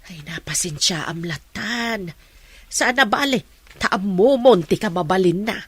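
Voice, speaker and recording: A woman's voice: mid-range voice, lively intonation, unhurried, slightly rough, no room sound. An actress playing a sympathetic, level-headed mother in a radio drama. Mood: concerned. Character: sympathetic, level-headed mother who chides her husband.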